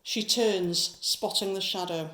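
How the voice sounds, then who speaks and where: A woman's voice: medium pitch, fairly even intonation, takes it slowly, pushed and bright, reverberant, rough recording. A woman narrating a horror audio drama from a script, describing each scene.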